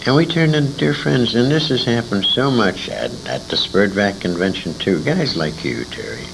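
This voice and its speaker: A man with a gravelly voice reminiscing in an informal interview, earnest and emphatic in the way he talks. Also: fairly low pitch, big pitch swings, normal speed. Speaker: interviewee, former radio show performer. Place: old-time radio convention. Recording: noisy amateur convention tape recording.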